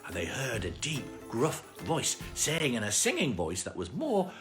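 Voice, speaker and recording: A storyteller reading a children's bedtime story in an animated narrating style. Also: medium pitch, unhurried, music in the background.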